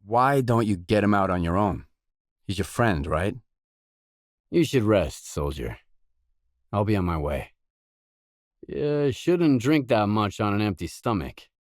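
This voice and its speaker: Low-voiced, deadpan male game loner. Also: measured pace, pauses often, dry recording, studio quality. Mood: guarded, deadpan. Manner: deadpan, terse, guarded. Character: weary, laconic male stalker, wry hardened loner. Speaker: video game voice actor. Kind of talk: isolated game dialogue lines.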